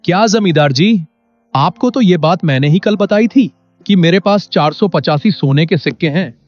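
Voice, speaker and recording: A man's voice: sits high, animated, moderate pace. Humble, earnest farmer played by a man, slightly muffled. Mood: alarmed, pleading. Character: naive, honest poor farmer, humble newcomer. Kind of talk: animated moral story character acting. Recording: dull lo-fi sound under music.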